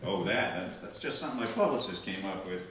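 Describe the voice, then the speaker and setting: Stern older commander, wry and curt, lively intonation, acted by a man for radio comedy in an echoey room. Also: low voice, brisk, far from the mic, slight background noise. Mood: wry, defensive. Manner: dry, curt, exasperated. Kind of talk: live old-time radio comedy skit.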